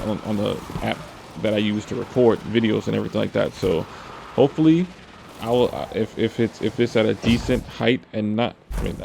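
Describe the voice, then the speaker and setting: A man with a deep voice giving casual, rambling commentary on his own gameplay, restarting his sentences often and repeating words. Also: vocal fry, background noise. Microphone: desk mic with pop filter. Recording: voice under loud game music. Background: loud game music and gunfire.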